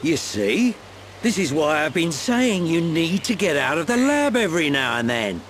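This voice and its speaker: Gruff older game guide guy, knowing and grumbly, pitch moves a lot, rain noise behind. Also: big pitch swings, normal speed, a bit bright. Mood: knowing, instructive. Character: gruff, worldly older male adventurer and guide. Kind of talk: video game cutscene acting.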